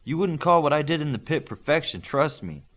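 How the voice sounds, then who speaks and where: A man voices a teenage boy superhero in an audiobook. He is modest and wary, speaking plainly in a somewhat raised, lively voice with an awkward, guarded manner. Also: fairly high pitch, a little fast, pitched above the performer's own voice. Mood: dazed, doubtful.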